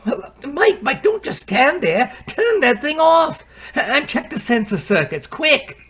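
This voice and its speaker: An actor playing a chatty, excitable technician with an American accent, colloquial, in an old-time radio drama. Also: male voice, very high-pitched, slow, exaggerated voice. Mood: alarmed. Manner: stammering when flustered, colloquial. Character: excitable working-man technician, chatty and easily alarmed.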